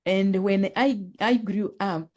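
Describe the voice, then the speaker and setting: A woman from Uganda, telling her life story as a podcast guest, earnest and halting, often repeating words. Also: mid-range voice, darkish tone. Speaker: guest, author and nonprofit founder.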